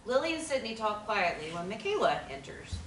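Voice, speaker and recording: A woman reading the stage directions aloud in a plain, even delivery, her pitch ranging widely as she reads. She is heard from some distance in a roomy space with faint noise. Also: a little fast.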